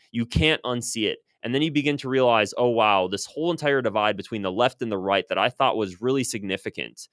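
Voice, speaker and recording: An American man delivers off-the-cuff political commentary. His voice is mid-pitched and smooth, with a crisp, clear tone. He is insistent and opinionated, leaning on repeated phrases for emphasis. The sound is dry, with very little room in it. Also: no room sound. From United States.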